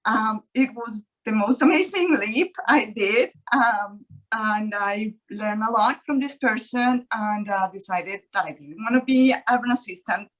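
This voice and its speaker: A woman from Colombia with a Colombian Spanish accent, an estate agent telling her story on a webinar panel in an earnest way, pausing often. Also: on the high side, poor audio. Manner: earnest, many pauses and ums. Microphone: video call.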